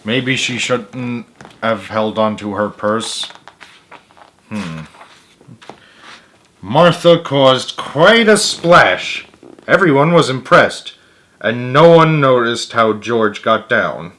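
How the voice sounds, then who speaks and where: A man's voice: mid-range voice, slow, long pauses. A man reading a story aloud expressively, dropping in muttered asides of his own. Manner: expressive, with emphatic character lines and muttered asides.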